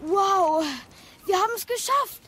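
A woman's voice: very high voice, animated, a little slow, fairly bright tone, a little hiss or hum, heavily acted. A woman playing an eager, unsure young boy, a warrior's son, in an audio drama. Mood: amazed.

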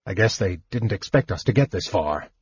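A man voicing Caramon, a big strong warrior who speaks quietly and matter-of-factly. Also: fairly low pitch, expressive, moderate pace, breathy edge, muffled recording. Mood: calm.

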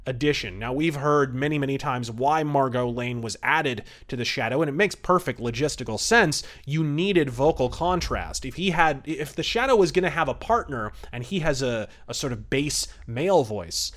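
A man's voice: medium pitch, normal speed, few pauses, fairly bright tone. A man hosting a podcast, giving enthusiastic, wordy and emphatic review commentary. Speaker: podcast host.

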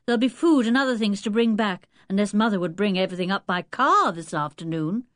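A woman's voice: medium pitch, a little fast, a bit bright, dry recording, clean studio sound, voice pushed up, natural voice. A woman voicing a cheerful, polite, confident teenage boy in a children's audiobook, eager and well-spoken with a British accent. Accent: British English. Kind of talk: children's audiobook character acting.

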